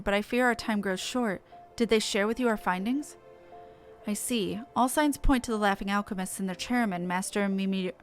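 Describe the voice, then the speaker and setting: A woman voicing a grave, eloquent investigator from a fantasy game in a formal, measured, dramatic delivery. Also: medium pitch, close to an everyday voice.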